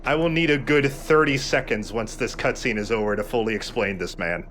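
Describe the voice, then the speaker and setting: A man's voice: mid-range voice, a little fast, a bit bright, slight background noise. A man commentating a video game casually, explaining things with mock-announcer flourishes. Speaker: let's play host and gamer.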